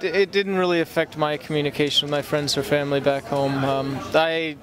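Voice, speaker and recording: Young American man, flat higher voice, crowd. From United States. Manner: measured, self-correcting. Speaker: interviewee, foreigner abroad. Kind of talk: street interview answers. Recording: crowd noise on a busy street.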